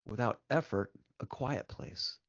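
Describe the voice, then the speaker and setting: A man leading a guided meditation as the guide, speaking gently and soothingly, unhurried, with long pauses between short instructions. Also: mid-range voice, no room sound, muffled recording.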